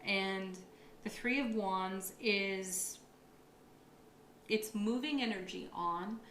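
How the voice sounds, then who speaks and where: A woman's voice: mid-range voice, takes it slowly, fairly bright tone, a little hiss or hum. A woman with a slightly froggy, tired voice gives a tarot reading, upbeat and affirming, with thoughtful asides. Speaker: tarot reader. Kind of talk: monthly astrology tarot reading. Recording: echoey room with faint ambience.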